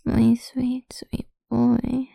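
A woman speaking in a soft, coaxing, mostly whispered voice for an intimate ASMR roleplay. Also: a little high, level tone, very slow, gravelly, no echo, studio quality.

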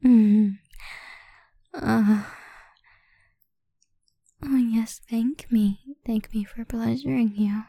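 A woman speaking in a breathy whisper in an erotic roleplay audio, domineering, commanding and taunting. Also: on the high side, steady tone, drawn-out words, long pauses, dark tone, no echo.

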